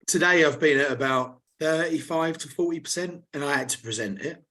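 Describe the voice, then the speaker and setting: Hoarse man, laddish banter. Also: on the high side, brisk, pushed and bright. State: slightly hoarse. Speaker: podcast co-host. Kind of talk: casual chat.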